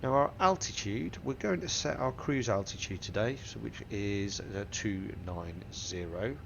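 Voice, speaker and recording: A low, gravelly man with a bunged-up nose from a cold, working through a cockpit setup step by step. He reads out each number and setting calmly as he goes. Steady aircraft hum sits clearly behind his voice. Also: a little slow, highs cut off. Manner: methodical, talks through each setting aloud. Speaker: flight sim tutorial host. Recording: simulator engine and cabin noise behind. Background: aircraft simulator hum.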